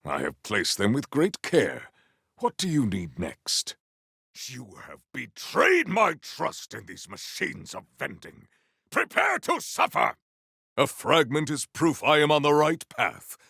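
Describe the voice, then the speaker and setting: An actor voicing a proud, honourable video game warrior who speaks in a formal, archaic, solemn and theatrical way. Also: male voice, medium pitch, wildly swooping pitch, slow pace, gravelly, bright, forceful tone, slightly rough, dry recording, studio quality, full character voice. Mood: stern, then furious shout, then grave, resolute. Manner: formal, archaic, grandiose phrasing.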